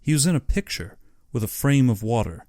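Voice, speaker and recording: A man's voice: mid-range voice, sing-song, fairly quick, mellow, no echo, studio quality. A man narrating an audiobook story in a dramatic, scripted reading style.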